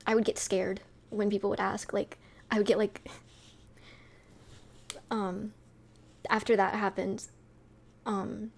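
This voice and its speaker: A woman's voice: mid-range voice, little pitch movement, slow pace, long pauses. A young woman with a sweet voice, chatting casually with her stream viewers, rambling with lots of fillers and light laughs.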